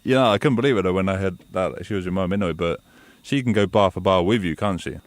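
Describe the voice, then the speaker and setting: A man's voice: deep voice, fast, no room sound. A man hosting a radio show, interviewing a guest in a chatty, enthusiastic way and calling him "man" again and again.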